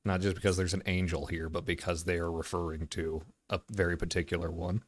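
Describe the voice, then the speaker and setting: A man's voice: low voice, little pitch movement, a little fast. A man hosting a casual reaction, commenting analytically and explaining what he notices.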